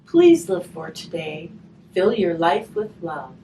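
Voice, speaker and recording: A woman reading a picture book aloud slowly and expressively, pausing to chat and put questions to her listeners. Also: on the low side. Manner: slow, expressive reading with chatty questions to the audience. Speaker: hospice volunteer reading a picture book to children. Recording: distant mic, faint background noise.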